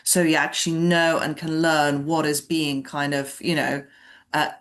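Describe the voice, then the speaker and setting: A woman's voice: low voice, little pitch movement, a little slow. A woman from Poland, a native Polish speaker, asking a question in an online class in a careful, roundabout way. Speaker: course participant, public health worker.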